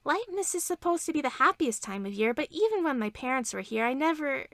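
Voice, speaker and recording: Woman as an awkward, guileless princess inventor, high-voiced. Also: big pitch swings, fairly quick, a bit bright, no echo, clean studio sound, full character voice. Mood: downcast. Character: socially awkward, blunt young princess inventor.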